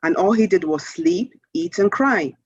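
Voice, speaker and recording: A woman author reading a children's story aloud in a measured, expressive storytelling manner. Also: on the low side, husky, no room sound, highs cut off.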